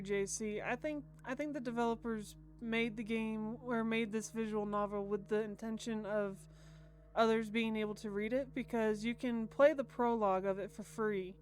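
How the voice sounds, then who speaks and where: Casting: American female game streamer, mid-pitched, casual, stammering commentary over faint game music. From United States. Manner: stammers and repeats words. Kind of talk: casual livestream commentary and reading aloud.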